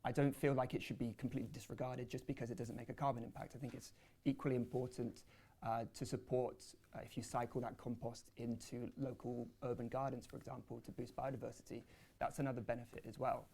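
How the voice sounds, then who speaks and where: A man's voice: medium pitch, little pitch movement, fast talker, warm tone. A man presenting research and answering audience questions at a panel, speaking hesitantly with many fillers and restarts.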